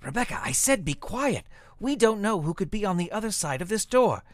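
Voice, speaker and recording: An actor playing an earnest, plucky young amateur detective in a comic radio mystery, speaking emphatically. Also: male voice, high voice, sing-song, brisk, dry recording, clean studio sound. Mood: hushed investigating.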